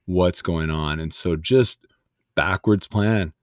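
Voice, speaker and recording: A deep-voiced man co-hosting a faith podcast. He rambles in a relaxed way and jokes now and then. His voice sounds dry and close to the mic. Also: slow, dry recording. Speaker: podcast co-host. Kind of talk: casual faith podcast chat.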